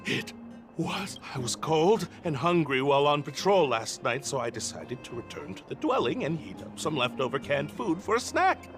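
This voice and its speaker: A jittery, cowardly night patrolman with a high, creaky voice that swings wildly. He stammers and dramatizes in slow, shaky phrases, as a nervous video game character. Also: big pitch swings, fairly bright tone. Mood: shaky, composing himself. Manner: stammering, breathless, melodramatic. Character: jittery, easily frightened man on night patrol. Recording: game music behind the voice. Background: game background music.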